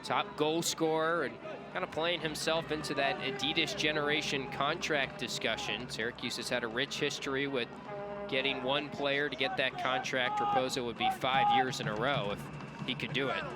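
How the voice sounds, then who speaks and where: A man's voice: mid-range voice, fairly even intonation, normal speed, over loud music. A man giving conversational color commentary during a live soccer match. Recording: very noisy outdoor stadium ambience. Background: loud outdoor match ambience.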